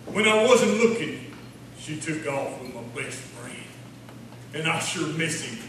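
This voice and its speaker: An actor playing a mournful small-town redneck poet, reciting comic verse in a plaintive, deliberate folksy way. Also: male voice, fairly high pitch, expressive, fairly bright tone. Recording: echoey hall from far off, noisy.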